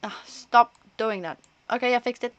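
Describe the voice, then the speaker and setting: A woman's voice: on the high side, moderate pace, muffled recording. A woman doing casual live gameplay commentary, chatting and thinking aloud as she streams. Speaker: game streamer.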